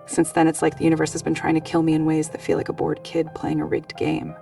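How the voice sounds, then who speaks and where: Anxious, defensive young storyteller, voiced by a narrator, very fast, flat pitch, distant over music. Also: gravelly, natural voice. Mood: uneasy, defensive. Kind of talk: dramatized first-person quote in horror narration.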